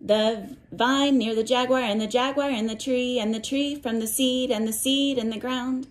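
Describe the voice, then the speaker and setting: A woman reading a picture book to young children and explaining it in simple words, with a sing-song, measured cadence. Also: a little high, fairly even intonation. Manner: simple, instructive phrasing aimed at young children. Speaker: read-aloud teacher for young children.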